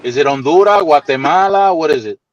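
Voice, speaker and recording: A man's voice: a little high, expressive, a little fast. A man from Puerto Rico chatting casually on a stream, often repeating his questions and asking for clarification. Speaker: stream guest. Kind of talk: casual chat. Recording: dull lo-fi remote audio.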